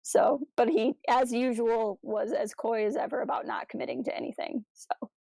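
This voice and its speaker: A woman's voice: a little high, normal speed, gravelly, mellow, dry recording. A woman co-hosting a casual fan podcast, chatting with playful, jokey enthusiasm and drifting into asides. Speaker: podcast host.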